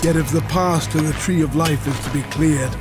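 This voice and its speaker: An actor playing a solemn, resolute ancient maker in a video game, speaking in a grave, measured way. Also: male voice, a little high, fairly even intonation, lightly acted. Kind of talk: video game cutscene acting. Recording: music behind the voice.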